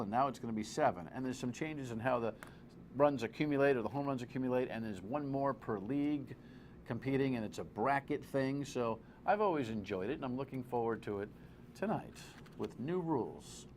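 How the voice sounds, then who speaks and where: Male TV host, gravelly voice, informal and wry, slangy emphatic delivery, slight noise behind. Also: medium pitch, darkish tone. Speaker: talk show host. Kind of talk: off-the-cuff TV commentary and interview.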